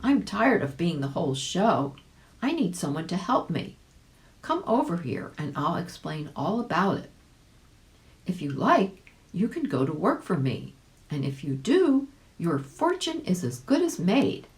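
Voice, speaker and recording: A woman doing an animated, polite monkey showman. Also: fairly low pitch, a little slow. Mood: polite, eager. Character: nice, polite male monkey clown, showman.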